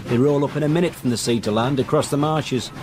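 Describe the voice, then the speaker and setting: Casting: male actor for a plain-spoken, foreboding Northern English local, mid-pitched, radio drama, with travel noise underneath. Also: fast, natural voice. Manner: blunt, terse, foreboding. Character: blunt, ominous Northern English local man, Samuel Daly.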